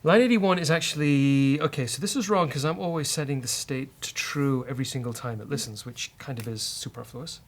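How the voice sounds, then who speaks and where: A man hosting a casual live-coding session, thinking aloud as a software developer. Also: mid-range voice, fairly quick, few pauses. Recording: faint noise, keyboard typing.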